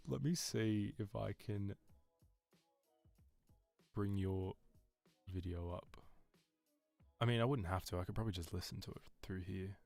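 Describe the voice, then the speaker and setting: A man with a lot of bass in his voice, chatting casually on a live stream and giving feedback with loose phrasing and plenty of fillers. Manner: rambling, loose, with many fillers and restarts.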